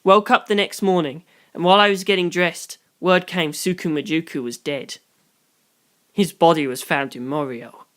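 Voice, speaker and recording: Conversational first-person audiobook narrator, medium pitch. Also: normal speed, fairly bright tone. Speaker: audiobook reader.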